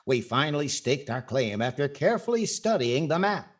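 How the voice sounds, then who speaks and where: A man's voice: medium pitch, very animated, normal speed. A man playing a wry 1940s radio comedian, narrating with dry, mock-serious delivery. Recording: music behind the voice.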